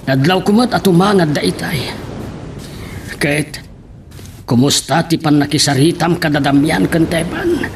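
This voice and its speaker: A man's voice: on the high side, close to an everyday voice. A man with an Ilocano accent playing a scheming older father in a radio drama. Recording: noisy radio drama recording.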